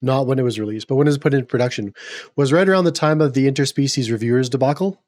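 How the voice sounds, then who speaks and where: A man hosting a casual podcast, getting over a cold so his voice is a little gravelly, opinionated and prone to stumbling restarts. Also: mid-range voice, very fast, darkish tone, dry recording, clean studio sound. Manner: opinionated, rambling with repeated restarts. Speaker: podcast host.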